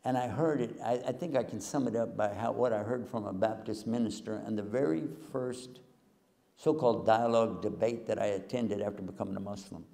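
An older man gives a folksy, joking lecture to a live audience. His voice is gravelly and mellow. A long reverb hangs after his words in the large hall. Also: on the low side, a little fast. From Texas. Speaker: Islamic preacher and TV host. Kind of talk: humorous public lecture. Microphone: handheld mic, far camera.

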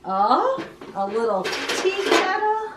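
Children's show host: middle-aged woman, very expressive, unhurried, playful, slightly roomy indoor sound. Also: very high-pitched, far from the mic, very noisy. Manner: exclamatory, playful, addresses the viewer with questions. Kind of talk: presenting to young children.

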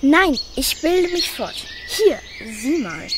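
A woman's voice: high-pitched, big pitch swings, slow, noisy background, heavily acted. A woman voicing a pompous know-it-all doctor who wants to be a detective in a children's radio play. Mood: lecturing. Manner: pompous, lecturing.

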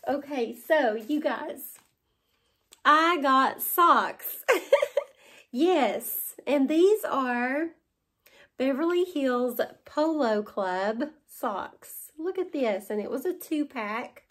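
Gushing Southern woman, slow and chatty. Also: high-pitched, lively intonation, fairly bright tone. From West Virginia. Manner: gushing, chatty, laughs often. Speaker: haul vlogger. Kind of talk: casual show-and-tell haul. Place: living room at home. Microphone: camera in front at close range.

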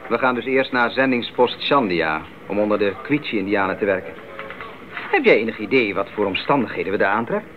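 An actor playing a determined, curious young male missionary in a 1980s radio drama, with earnest, clear phrasing. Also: fairly low pitch, big pitch swings, a little fast. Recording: narrow-band 1980s radio recording, faint noise.

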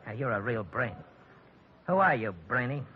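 A man's voice: expressive, moderate pace, natural voice. Man acting a sneering gangster, dull old radio. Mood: mocking. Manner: slangy tough talk, menacing. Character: sneering, insolent male gangster in flashy rings. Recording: dull vintage radio recording with rumble.

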